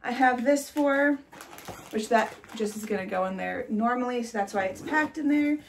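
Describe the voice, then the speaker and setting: Congested young woman with a gravelly voice. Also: fairly high pitch, normal speed, a little hiss or hum. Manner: chatty, with many "like"s and light laughs. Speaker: vlogger. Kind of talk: casual chat to camera. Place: nursery room indoors.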